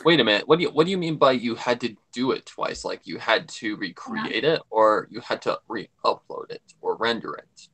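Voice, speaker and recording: A man's voice: fairly low pitch, lively intonation, moderate pace, hardly any pauses, fairly bright tone. A man joining a livestream as a guest over an online call, chatting casually and repeating himself as he rambles.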